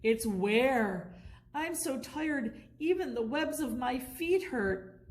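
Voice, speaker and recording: A woman's voice: high-pitched, lively intonation, slow pace. A woman voicing an adventurous, easygoing male penguin musician in a children's storybook. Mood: weary.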